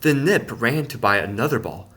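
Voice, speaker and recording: A man reading a children's picture book aloud in an expressive, playful storytelling manner. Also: medium pitch, moderate pace.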